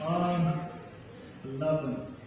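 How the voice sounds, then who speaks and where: High-voiced New man in echoey hall. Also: steady tone, extremely slow. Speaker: poet, guest performer. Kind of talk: live spoken-word performance with informal remarks to an audience. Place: campus event hall, live audience. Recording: echoey hall, distant mic, faint noise.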